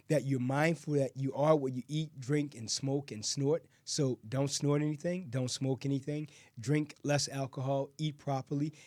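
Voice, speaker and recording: A man's voice: on the high side, a little mellow, dry recording. A man hosting a health podcast as a physician, explaining medical topics in a conversational way and sometimes correcting himself mid-sentence. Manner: explanatory, with frequent self-corrections. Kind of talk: health talk and interview.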